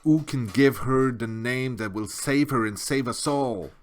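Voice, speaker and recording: A man's voice: medium pitch, measured pace, pitched above the performer's own voice, close to an everyday voice. A man voicing a desperate, insistent young boy hero in a storybook, crying out urgently. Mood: desperate, pleading.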